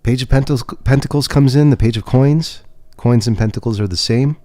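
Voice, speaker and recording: Soft-spoken man giving a tarot reading. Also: mid-range voice. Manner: gentle and reassuring, pausing and restarting. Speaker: tarot reader and podcast host. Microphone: webcam setup.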